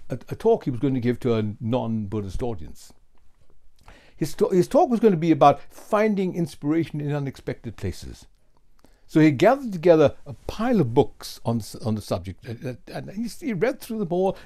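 An older man in his sixties or beyond, giving a lesson as a public speaking coach, with emphatic, theatrical delivery and deliberate pauses. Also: on the high side, warm tone. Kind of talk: keynote lesson, rhetorical storytelling. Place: small home office with bookshelves.

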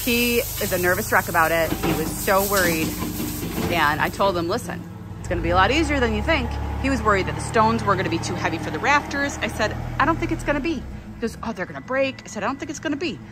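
A woman's voice: medium pitch, animated, quick pace, heavy background noise. A woman, a flower farmer, chatting casually on her phone while work goes on, telling stories about her partner with laughs. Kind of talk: casual vlog chat while working. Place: outdoors and in a greenhouse.

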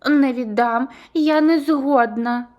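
A woman's voice: high-pitched, takes it slowly, lo-fi sound. A woman doing a greedy squirrel girl. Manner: shouting, grabby. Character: greedy hoarding female squirrel.

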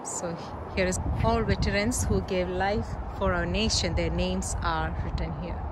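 A woman's voice: fairly low pitch, level tone, measured pace. A woman in her forties giving a casual guided tour of a riverside bike path as a travel vlogger, chatting to viewers while cycling. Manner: chatty, pointing out sights to viewers. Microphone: phone camera held at arm's length. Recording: loud wind and outdoor noise.

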